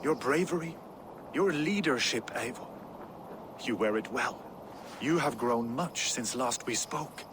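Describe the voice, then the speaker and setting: A man's voice: mid-range voice, close to an everyday voice. Man acting a brooding Viking warlord, noisy surroundings. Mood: respectful, warm. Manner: grave, poetic, deliberate phrasing. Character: solemn Danish warlord, brooding and battle-weary. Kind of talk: video game dramatic acting.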